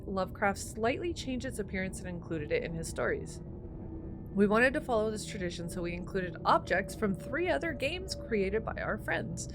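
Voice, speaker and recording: A woman playing a game on her channel, reading text aloud and commenting in an informal way. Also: medium pitch. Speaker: gaming streamer. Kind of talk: game playthrough, reading on-screen text aloud with casual asides. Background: music.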